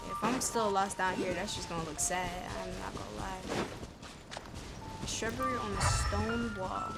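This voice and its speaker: A woman's voice: mid-range voice, fairly even intonation, unhurried, creaky. A woman streaming a game, thinking aloud in informal, muttered commentary to herself, with music behind her.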